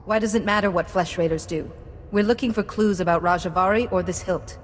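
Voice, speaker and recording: A woman playing a self-possessed young Jedi apprentice in a video game, speaking in a measured, firm way. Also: fairly low pitch, slight background noise, lightly acted. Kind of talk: video game dialogue acting. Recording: echoey hall, music behind.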